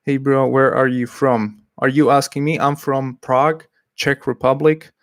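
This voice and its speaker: A man's voice: mid-range voice, little pitch movement, measured pace, dry recording, studio quality. A man from Slovakia, hosting a casual livestream, talking hesitantly and reading out chat messages. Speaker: livestream host.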